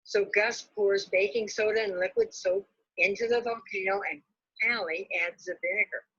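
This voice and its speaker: A woman's voice: mid-range voice, moderate pace, fairly bright tone, slightly rough. A woman librarian reading a children's storybook aloud expressively, on dull lo-fi audio.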